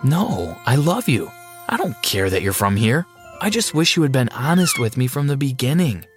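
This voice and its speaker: A man's voice: medium pitch, big pitch swings, moderate pace, music in the background, pitched above the performer's own voice, natural voice. A man voicing an earnest, emotional young woman, a girlfriend in a narrated story. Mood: tender, earnest. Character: young American girlfriend, startled, earnest, loving. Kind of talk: acted dialogue in a narrated story.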